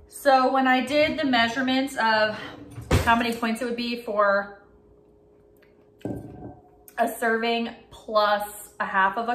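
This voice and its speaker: A woman's voice: fairly high pitch, noisy background. A young woman chatting casually to viewers in an upbeat vlog, talking while she eats in her home kitchen. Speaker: vlogger.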